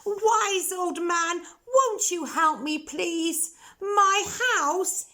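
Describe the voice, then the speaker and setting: A woman voicing a fretful little old lady who wails and pleads about her crowded house, in a high, whining voice. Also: extremely slow, bright, forceful tone, exaggerated voice. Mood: pleading.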